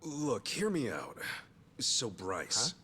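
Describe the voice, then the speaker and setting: A man's voice: medium pitch, huge pitch swings, drawn-out words, pushed and bright, breathy edge, full character voice. A man acting an earnest, hot-blooded regular-guy hero in a video game dub, brash and emphatic. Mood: insistent. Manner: emphatic, exclamatory. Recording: music behind the voice.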